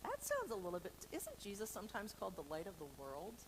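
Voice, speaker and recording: A woman's voice: mid-range voice, wildly swooping pitch, fast. Playful woman storyteller with noise behind her. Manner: playful, extroverted, self-deprecating, rambling. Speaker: children's story reader for a church. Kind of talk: informal read-aloud storytime with chatty asides to children. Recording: noticeable background noise.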